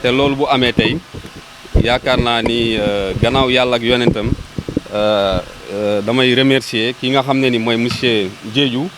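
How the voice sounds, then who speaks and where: Senegalese guy doing an official speech, mid pitched voice, stops and repeats himself, some background hum. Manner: hesitant, repeating words, switching between Wolof and French. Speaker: local government official. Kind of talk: public ceremony speech.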